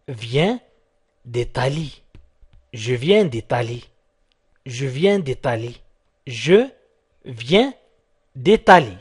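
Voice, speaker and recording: A man who is a native Arabic speaker, teaching as a language tutor with deliberate word-by-word phrases, repeated and translated. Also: a little high, slightly rough.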